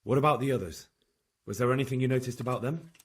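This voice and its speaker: A man playing a determined, plain-spoken young medieval investigator in a game cutscene, direct and matter-of-fact, with a husky voice. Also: mid-range voice, quick pace, close to an everyday voice. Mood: probing, firm. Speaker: video game protagonist, investigator. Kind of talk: dramatic game cutscene acting.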